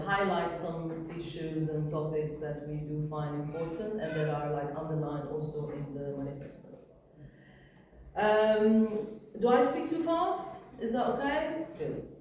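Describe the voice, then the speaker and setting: A woman whose first language is Persian, giving an informal workshop talk with relaxed fillers. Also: fairly low pitch, measured pace, a little hiss or hum. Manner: relaxed, explains with many fillers. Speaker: workshop speaker. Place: workshop room. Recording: echoey room from a distance.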